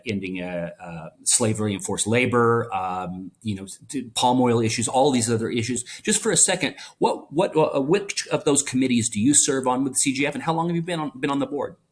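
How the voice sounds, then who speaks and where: A man hosting a business interview podcast, friendly and welcoming, talking in long run-on sentences. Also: fairly low pitch. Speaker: podcast host. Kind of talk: conversational interview.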